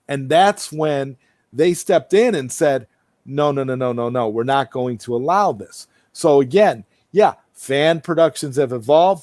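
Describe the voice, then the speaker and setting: A man's voice: medium pitch, darkish tone, dry recording, studio quality. A man hosting a livestream, chatting casually and answering viewer comments, often repeating and rephrasing himself as he thinks aloud. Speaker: livestream host.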